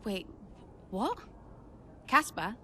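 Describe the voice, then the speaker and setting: A woman's voice: mid-range voice, sing-song, extremely slow, pushed and bright, slight background noise, exaggerated voice. A woman playing a blunt, defiant young racing driver in a video game cutscene, with a curt, confrontational manner. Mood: sarcastic, challenging.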